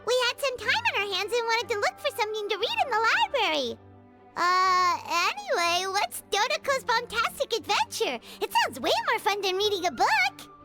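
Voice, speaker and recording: A tiny fairy girl from a video game, very high and squeaky. She chatters with curious, excitable, animated intonation over loud music. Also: normal speed. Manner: squeaky, childlike, refers to self in third person. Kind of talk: video game cutscene voice acting.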